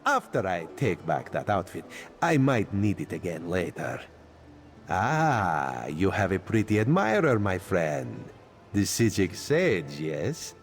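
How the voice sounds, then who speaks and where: A sly catlike spymaster leans in with a low, lively voice that dips and climbs as he plots. He keeps his tone hushed and wry. Faint game music plays under him. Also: slow pace, heavily acted. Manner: refers to himself in third person, hushed and sly. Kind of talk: video game voice acting.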